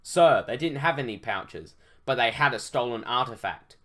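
A man reads a dutiful soldier from a fantasy storybook. He answers his superior in clipped, obedient phrases, in an ordinary mid-pitched male voice. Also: animated, normal speed, fairly bright tone. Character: dutiful military underling reporting to his superior.

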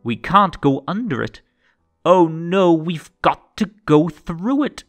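A man reading a children's story aloud in a theatrical, rhythmic and expressive way. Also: a little high, takes it slowly. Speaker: storybook narrator. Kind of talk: animated children's read-aloud. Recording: music under the voice. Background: music.

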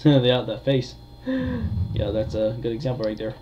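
A man giving casual, hesitant gameplay commentary with frequent pauses. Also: medium pitch, very animated. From United States. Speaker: gamer, video creator. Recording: dull lo-fi mic, faint noise.

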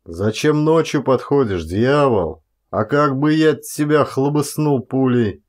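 A man voicing a blustering former policeman turned forest guard in an audiobook, with a folksy, loud, grumbling manner. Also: medium pitch, lively intonation, measured pace, close to an everyday voice. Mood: gruff, exasperated.

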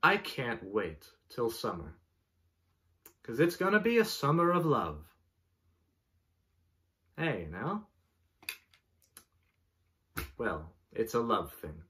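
A man in his twenties giving a mock-dramatic poetry reading in a pompous, deliberate way, recorded in a bedroom a short distance from the mic. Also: medium pitch, huge pitch swings, a bit bright. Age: 20s. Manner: theatrical, deliberate, pompous delivery. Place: small bedroom, indoors.

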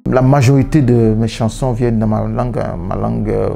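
A man's voice: medium pitch, fairly even intonation, a little fast, gravelly, dark tone, faint music behind. A man in his thirties whose first language is Yoruba, giving reflective interview answers indoors, often restarting and repeating words. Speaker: musician. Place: indoor room, seated on a sofa.

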